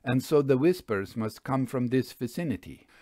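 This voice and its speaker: A man voicing a vain, flirtatious male stage magician with a smooth, showy, deliberate delivery in a storybook reading. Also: medium pitch, expressive, dark tone, no echo, clean studio sound, lightly acted. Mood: polite, showy. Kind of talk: audiobook storybook dialogue.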